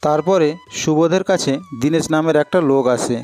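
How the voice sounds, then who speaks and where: A man's voice: fairly high pitch, a little fast, darkish tone, faint music behind. A man narrating a scripted cartoon story as a storyteller.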